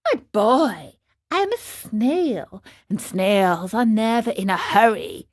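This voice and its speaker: A woman voicing a calm, peaceful female snail who is serenely unhelpful, in a children's storybook. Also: mid-range voice. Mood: calm, unhurried. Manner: calm, peaceful, slow, deliberate.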